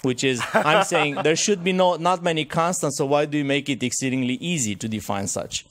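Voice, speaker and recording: A hoarse man in the audience of a technical conference, asking a long, challenging question, full of fillers and restarts. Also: a little high, a little fast, fairly bright tone.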